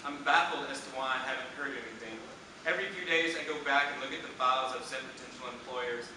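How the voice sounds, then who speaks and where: A man's voice: medium pitch, fast talker. A man in his thirties reading his own personal essay aloud at a live university reading, with a dry, self-deprecating delivery. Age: 30s. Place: university reading event before a live audience. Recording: echoey room, distant mic, background noise.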